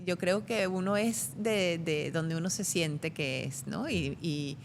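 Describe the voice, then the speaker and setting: A middle-aged woman with a Venezuelan Spanish accent and a fairly low voice, talking in a reflective interview. She thinks aloud, hesitating and restarting her sentences. A faint rumble sits under the recording. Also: slow. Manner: thoughtful, with frequent hesitations and restarts. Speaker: guest.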